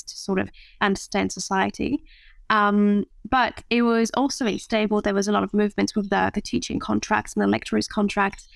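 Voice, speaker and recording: Woman speaking with a gravelly edge. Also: medium pitch, no room sound. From France. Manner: thoughtful, frequent fillers and restarts. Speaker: guest, matchmaker. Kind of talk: interview conversation.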